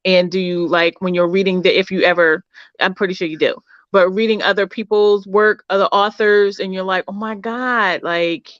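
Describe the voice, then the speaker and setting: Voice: mid-range voice, fairly even intonation, normal speed, a bit bright, no echo. A podcast host asking long, rambling interview questions, often restarting mid-sentence and encouraging the guest with "mm-hmm". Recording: dull muffled dry sound.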